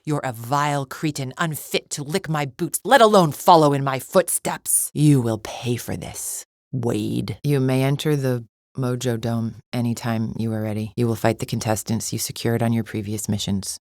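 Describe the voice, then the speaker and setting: A contemptuous female champion fighter from a video game, speaks in a strikingly deep register. Her delivery is cold, imperious and formal, full of disdain for lesser beings. The sound is close and dry, with no room around it. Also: fairly even intonation, moderate pace, no room sound. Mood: indignant outrage. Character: haughty, contemptuous alien female warrior champion. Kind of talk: scripted video game character acting.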